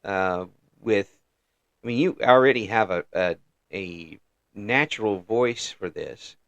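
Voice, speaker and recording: An older man hosting a casual interview, halting and repeating words as he goes, with frequent chuckles. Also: fairly low pitch, fairly bright tone, no echo, muffled recording. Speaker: interview host.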